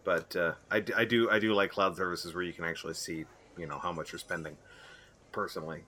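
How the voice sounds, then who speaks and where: Man, co-host, low pitch, bright forceful tone, laughs easily, stammers. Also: steady tone, fast talker. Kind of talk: playful role-play as a cafe server.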